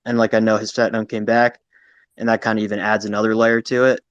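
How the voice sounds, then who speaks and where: Man, flat delivery, lowish voice, reflective, informal, casual opinion. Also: a little fast, dry recording, dull audio. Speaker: panel participant. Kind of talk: casual opinion in a live audio chat.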